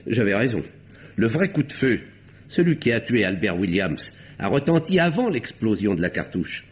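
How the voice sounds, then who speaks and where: Calm, pointed detective-doctor. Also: male voice, fairly low pitch. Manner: measured, pointed, dramatic pauses. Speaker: Doctor Westlake. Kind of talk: 1950s radio drama acting. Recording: dull old radio recording.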